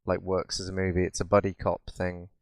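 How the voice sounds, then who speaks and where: A man with a fairly low voice, recorded close and dry. He talks as a podcast co-host in loose, opinionated chat about entertainment, swearing freely and keeping it informal. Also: monotone, brisk, no room sound. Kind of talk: casual chat about films and games.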